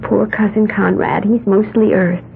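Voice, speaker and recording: An actress playing a dreamy, eccentric young woman in an old radio drama, musing earnestly and gently. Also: medium pitch, level tone, unhurried, creaky, husky, phone-quality sound, heavily acted. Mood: matter-of-fact, gentle. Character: dreamy, eccentric young woman, self-styled priestess of the elements. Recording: thin, band-limited old radio recording with hiss.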